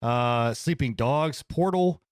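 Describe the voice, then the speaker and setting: A man hosts a casual podcast in a dry, close-sounding recording. His pitch is average, and he talks in excitable slang with some swearing. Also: slow pace, clear, resonant tone, dry recording. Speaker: podcast host.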